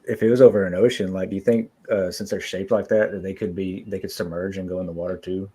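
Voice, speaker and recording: A man's voice: sits low, flat delivery, quick pace, warm tone, breathy edge. A man in casual chat, curious and asking a string of questions.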